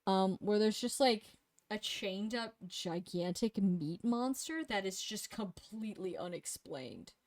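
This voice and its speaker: Young woman co-host, casual rambler with a loose, profane delivery, slightly sniffly and hoarse, dry close sound. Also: medium pitch, expressive, a little slow, a little mellow, no echo. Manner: rambling and profane, with many fillers. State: sniffly, losing her voice. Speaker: co-host reviewing games. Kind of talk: casual chat.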